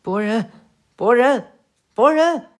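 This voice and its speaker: A man's voice: high-pitched, lively intonation, moderate pace, a bit bright, voice pushed up. A man voicing a young female ninja who scolds her teammate sharply. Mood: urgent.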